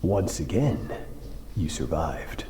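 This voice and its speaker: A merciless master swordsman from a fantasy game, low and dark in tone. He speaks slowly and solemnly, giving stern commands with cold authority, and his words ring in a roomy echo over music. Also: expressive, a little hiss or hum, exaggerated voice. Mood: cold, commanding. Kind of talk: dramatic video game voice acting.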